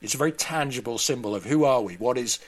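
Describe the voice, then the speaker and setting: Mid-pitched man giving conversational commentary. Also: fast talker. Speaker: interview guest, commentator. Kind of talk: conversational analysis.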